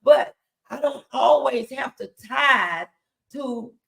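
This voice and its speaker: A woman's voice: high-pitched, lively intonation, slow pace, vocal fry, a bit bright. A woman hosting and preaching, talking to her viewers in an emphatic, exhortatory motivational style. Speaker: show host and preacher. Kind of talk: motivational faith talk on a livestream.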